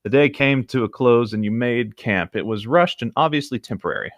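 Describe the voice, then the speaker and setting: A man's voice: mid-range voice, expressive, a little fast, no room sound, clean studio sound. A man reading fiction aloud as a co-host, in a narrating style with casual asides.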